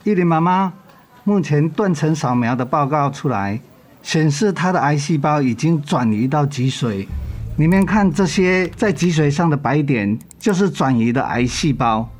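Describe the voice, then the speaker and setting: A man playing a calm, caring hospital doctor in a radio drama, measured and professional. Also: fairly high pitch, faint music behind. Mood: serious.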